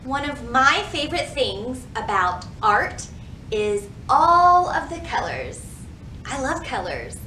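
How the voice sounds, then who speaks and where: High-pitched woman reading slowly for children. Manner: animated, careful enunciation for young children. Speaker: children's librarian hosting a virtual storytime. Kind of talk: children's storytime reading and teaching. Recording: roomy echo, faint background noise.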